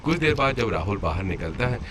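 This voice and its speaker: A man's voice: lowish voice, very animated, fairly quick. A man narrating a scripted family story as the storyteller. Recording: clear background noise under voice.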